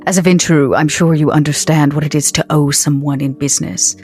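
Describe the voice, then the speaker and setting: Woman playing a low-voiced scheming vampire businesswoman. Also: fairly even intonation, darkish tone, faint music behind, natural voice. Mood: measured, persuasive. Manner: deliberate, pausing, formal.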